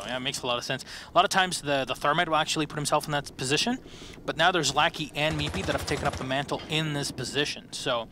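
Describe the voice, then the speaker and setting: A man in his twenties doing play-by-play commentary on an esports match, excitable and quick to rise on big plays. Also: medium pitch, background noise. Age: 20s. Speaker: esports caster. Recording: loud gunfire from the game behind. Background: game gunfire and effects.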